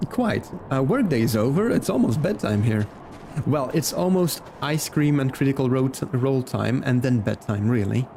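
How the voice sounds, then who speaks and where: A man's voice: mid-range voice, animated, normal speed, gravelly, mellow, slight background noise. A man from Belgium who speaks English as a second language, chatting casually with viewers as a live game streamer. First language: not English, learned it from video games. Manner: chatty, greets viewers by name.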